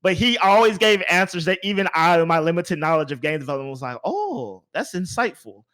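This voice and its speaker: A man hosting a casual gaming podcast, talking in an animated, rambling way and repeating phrases for emphasis. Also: high voice, a little fast. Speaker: podcast host.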